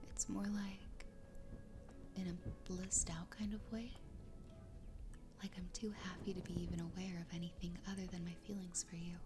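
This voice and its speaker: A woman playing an adoring, obsessive fox girl wife in an ASMR roleplay, whispering breathily and close to the microphone in a slow, intimate, soothing way. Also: fairly low pitch, close to an everyday voice. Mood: blissfully obsessed. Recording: close whisper with clear background noise.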